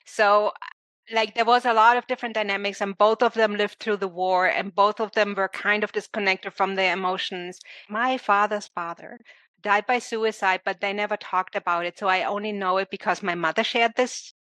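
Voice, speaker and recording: This is a woman telling her own story as a podcast guest. She speaks reflectively and often restarts her sentences. Her tone is bright and a little tense, and the recording is dry and close. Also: mid-range voice, fairly even intonation, normal speed, no room sound. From Germany. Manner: reflective, searching for words, frequent restarts. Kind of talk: personal conversational storytelling.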